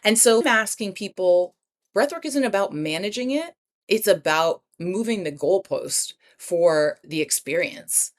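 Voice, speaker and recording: A woman's voice: medium pitch, animated, unhurried. A woman, a breathwork practitioner and writer, talking as a podcast guest in a passionate, rambling way full of asides and the odd swear word. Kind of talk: podcast interview talk.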